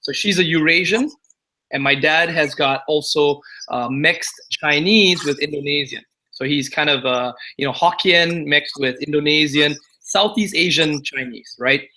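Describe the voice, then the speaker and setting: Singapore-born guy in his 40s on a video call, bright kinda high voice, chatty, lots of "right?". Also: a little slow. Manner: chatty and confiding, leans on "right?" and "you know". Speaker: guest entrepreneur. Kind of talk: casual interview talk.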